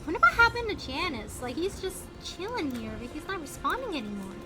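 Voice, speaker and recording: A woman giving casual livestream commentary on a video game in an animated manner. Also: sits high, brisk. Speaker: livestream host. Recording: game music under the voice. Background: video game music.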